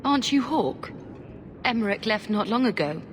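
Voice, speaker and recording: A woman's voice: on the high side, animated, moderate pace, lightly acted. A woman acting a puzzled, matter-of-fact character on duty in a video game, firm and straightforward. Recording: faintly noisy, echoing hall.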